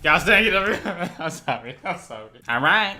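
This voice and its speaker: A man doing casual, excited reaction commentary as a host, talking in slang with animated interjections. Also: high voice, moderate pace, slight background noise.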